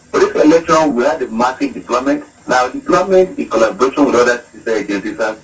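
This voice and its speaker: A man's voice: medium pitch, slight background noise. A man with a Nigerian accent speaking unscripted as a police spokesman, emphatic and repeating phrases for stress. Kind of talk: unscripted radio interview commentary. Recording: radio clip, distant from the mic.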